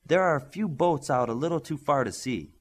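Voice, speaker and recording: A man voicing a friendly, quick-witted young male superhero speedster in a storybook, talking casually. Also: a little high, expressive, voice pushed up. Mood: casual, practical.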